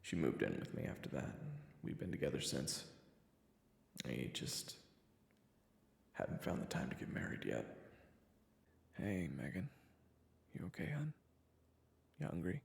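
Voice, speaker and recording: A man playing a weary, haunted assassin and new father in an audio roleplay, with a deep gravelly voice and a dry, halting, confessional delivery. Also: wildly swooping pitch, heavily acted. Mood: tired, awkwardly tender. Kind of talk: first-person audio roleplay narration. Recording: echoey, long reverb.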